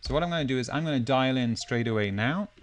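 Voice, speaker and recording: A man with a mid-pitched voice narrates a screencast tutorial. He explains each step as he goes, speaking informally as a presenter would when showing software without a tight script. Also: quick pace.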